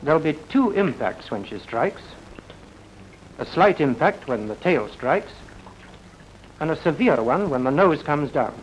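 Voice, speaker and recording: A man's voice: on the high side, animated, a little slow, long pauses. A man acting as a clipped, didactic RAF officer in a 1940s instructional film. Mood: assured, instructive. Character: brisk, assured RAF officer explaining procedure. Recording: noisy 1940s film soundtrack.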